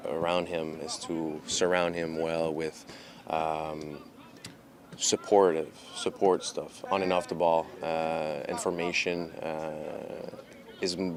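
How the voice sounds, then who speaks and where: A very low, monotone male voice with a French Canadian accent; English is not his first language. He answers reporters slowly and carefully, pausing on fillers between phrases. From Montreal, Canada. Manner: measured, halting, many filler pauses. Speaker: pro soccer goalkeeper. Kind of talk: press interview answers.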